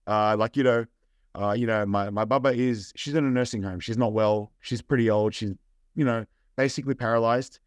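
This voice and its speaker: A man's voice: medium pitch, steady tone, a little fast, no echo. Laid-back Australian man, dry sound. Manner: laid-back, rambling with fillers. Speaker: guest. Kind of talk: casual interview.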